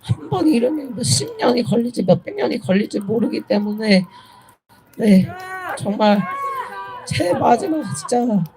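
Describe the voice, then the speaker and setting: A young woman giving an earnest, pleading protest speech, halting and correcting herself as she goes. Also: on the high side, big pitch swings, noisy background. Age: young adult. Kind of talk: impromptu political rally speech. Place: outdoors at a street protest.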